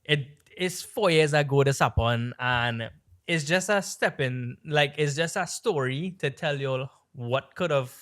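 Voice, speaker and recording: A man from Trinidad with a Trinidadian-tinged American accent, co-hosting a podcast and telling a long story in a rambling, animated way with lots of fillers. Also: a little high, measured pace. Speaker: podcast co-host. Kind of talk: casual storytelling chat.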